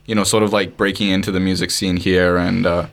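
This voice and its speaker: Low-voiced, quick-talking male interviewer. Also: little pitch movement. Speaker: interviewer, radio host. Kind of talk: conversational interview. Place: studio.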